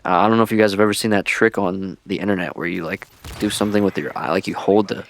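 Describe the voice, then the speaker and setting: Guy with a lowish voice doing chill rambly gaming youtube commentary, old headset mic sound. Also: fairly even intonation, quick pace, no echo. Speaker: video creator and streamer. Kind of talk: casual gaming vlog commentary. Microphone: headset-style microphone, deliberately old-school sound.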